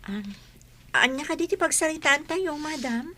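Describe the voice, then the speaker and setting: Woman as a high-voiced humble young housemaid. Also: lively intonation, normal speed, bright, forceful tone, a little hiss or hum. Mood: polite. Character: humble, patient, forgiving young female housemaid. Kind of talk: melodramatic Filipino radio-style drama acting.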